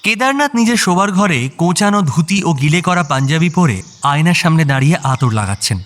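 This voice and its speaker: A man's voice: on the high side, sing-song, a little fast, fairly bright tone. A man narrating a scripted audio story.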